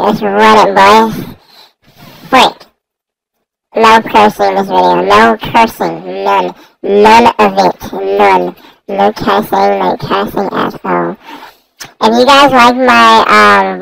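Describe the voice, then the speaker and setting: A gaming YouTuber heard through a voice changer, giving casual, slangy and repetitive gameplay commentary in a gravelly voice. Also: medium pitch, a bit bright, dry recording, poor audio. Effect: voice changer raising the voice.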